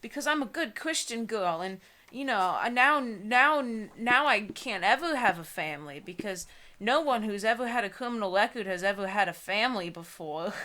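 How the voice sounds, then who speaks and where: A woman's voice: a little high, animated, normal speed, sharp tone. Young woman reading aloud, casually mocking. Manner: giggly, breaks off reading to comment. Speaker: co-host reading books aloud.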